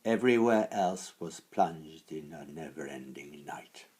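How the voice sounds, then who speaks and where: Male storyteller, deep and highly varied in pitch, for dramatic dark fairy-tale narration. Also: expressive, unhurried. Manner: theatrical, hushed then swelling phrasing. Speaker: author reading his own story.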